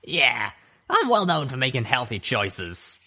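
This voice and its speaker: A man voicing a gruff, sardonic female griffin mercenary who chain-smokes, with a drawl. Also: medium pitch, wildly swooping pitch, measured pace, bright, forceful tone, voice pushed up, exaggerated voice. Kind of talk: audiobook character voice.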